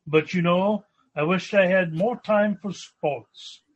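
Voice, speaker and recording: A man's voice: high-pitched, slow, lo-fi sound. A man playing a polite, stuffy, well-to-do young businessman suitor in a 1940s-style radio comedy. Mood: genial, stuffy. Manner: genteel, hesitant.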